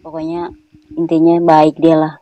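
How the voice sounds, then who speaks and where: A woman's voice: sits low, completely flat delivery, normal speed, warm tone, dull audio. Earnest woman. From Indonesia (Subang, West Java). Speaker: guest fan. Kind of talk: casual chat.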